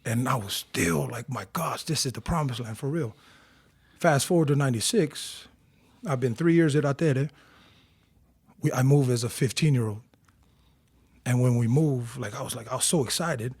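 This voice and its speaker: A man in his forties from Tonga, telling animated stories about his youth as an interview guest. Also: medium pitch, normal speed, creaky, pauses often, slightly rough, no echo. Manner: animated storyteller, acts out quotes. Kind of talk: casual storytelling interview.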